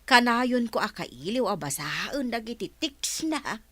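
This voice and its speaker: A woman's voice: on the high side, very animated, a little slow, sharp tone, no echo, exaggerated voice. An actress playing a lovestruck married woman in a radio comedy drama. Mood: smitten.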